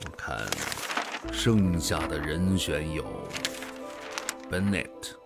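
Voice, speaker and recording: An actor playing a weary, guilt-ridden older male commander in a radio drama, brooding and deliberate with sighs. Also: low voice, loud music behind the voice, exaggerated voice. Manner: brooding, deliberate, sighing soliloquy.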